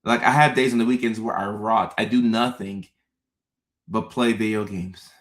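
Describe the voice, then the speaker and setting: Man from ghana, thirties, lowish voice, relaxed livestream chat, repeats himself. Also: normal speed. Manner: relaxed, chatty, repeats phrases, reads viewer comments aloud. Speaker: host.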